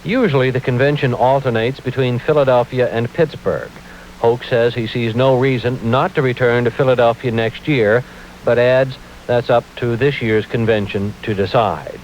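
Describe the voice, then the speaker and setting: Casting: American man, radio news reporter, medium-pitched scripted delivery, on a lo-fi AM broadcast. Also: moderate pace, darkish tone. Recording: dull hissy 1970s AM radio aircheck.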